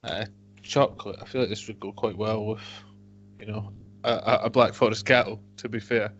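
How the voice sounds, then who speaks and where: A man co-hosting a casual whisky podcast, dry and a bit hesitant, often trailing off quietly. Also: on the low side, fairly even intonation, moderate pace, a little hiss or hum. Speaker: podcast co-host. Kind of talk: casual tasting chat. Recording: dull lo-fi home podcast audio.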